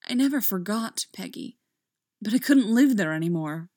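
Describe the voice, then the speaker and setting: A woman voicing a grave, tender female intelligence agent in a story, speaking gently and deliberately. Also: medium pitch, animated, no room sound, studio quality. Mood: earnest.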